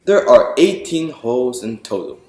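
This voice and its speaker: A man with a mid-pitched voice presents a picture book aloud in a reverberant room. He explains things step by step and addresses his listeners directly, as at a storytime. Music is heard along with his reading. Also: expressive, moderate pace, mellow. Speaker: author reading own picture book to an audience. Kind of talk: storytime read-aloud to an audience.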